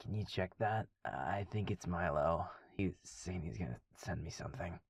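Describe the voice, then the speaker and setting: A man playing a sweet, shaken young werewolf boyfriend in an intimate audio roleplay, halting and stammering, with a breathy, husky voice. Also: sits low, no room sound, clean studio sound, heavily acted. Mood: sheepish, reassuring. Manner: halting, hushed, stammering, sighing.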